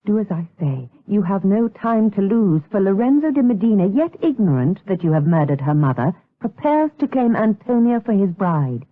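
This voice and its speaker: An actress playing a cool, scheming temptress in a gothic radio drama, measured, insinuating and commanding. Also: female voice, mid-range voice, big pitch swings, no room sound. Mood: sinister, commanding. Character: cool, scheming temptress coaxing a monk to evil. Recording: tinny, phone-like radio drama recording.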